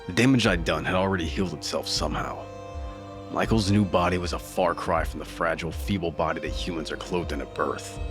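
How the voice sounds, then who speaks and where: An actor playing a weary, desperate occult detective in a dark noir audio drama, with a wry, dramatic delivery. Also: male voice, deep voice, fairly quick, close to an everyday voice. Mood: tense, grim narration. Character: weary, desperate male occult detective narrating his fight. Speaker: lead actor and narrator. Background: music.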